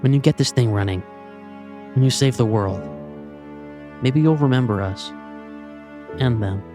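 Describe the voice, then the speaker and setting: A man's voice: medium pitch, measured pace, over music, close to an everyday voice. A man acting in an audio play as a bitter, grieving leader of an armed band of widows. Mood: sincere, pleading.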